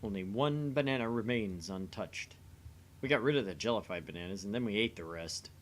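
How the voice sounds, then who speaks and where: A man's voice: lowish voice, lively intonation, a little fast, slight background noise. A man reading scripted visual novel narration aloud as the host of a gaming channel.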